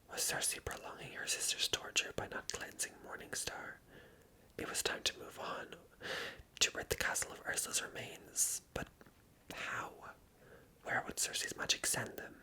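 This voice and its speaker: A person reading a story aloud as ASMR narration in a hushed, unvoiced whisper. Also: moderate pace, poor audio. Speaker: ASMR reader and channel host.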